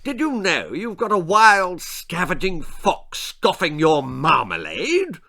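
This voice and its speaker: A pompous, pot-bellied English country lord from a children's storybook. He hoots in a high, bright upper-class voice. His blustering delivery swoops widely. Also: huge pitch swings, slow pace, vocal fry, exaggerated voice. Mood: bossy, indignant. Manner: pompous, hooting, old-fashioned exclamations.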